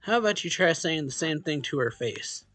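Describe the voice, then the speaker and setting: Bright-voiced man reading a visual novel aloud. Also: fairly high pitch, lively intonation, moderate pace, soft background music. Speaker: let's play host.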